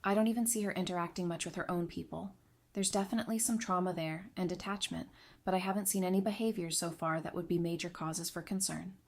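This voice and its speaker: A woman's voice: fairly low pitch, steady tone, quick pace. A woman playing a perceptive, candid advisor in an audio drama, talking in a thoughtful, frank way. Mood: dry, approving. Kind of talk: fan audio drama dialogue.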